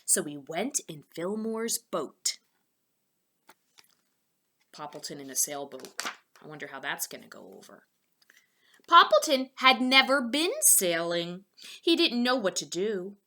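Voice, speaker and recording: A woman reading a storybook aloud to young children, expressively, pausing for asides and questions to her listeners. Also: lowish voice, expressive, bright, forceful tone.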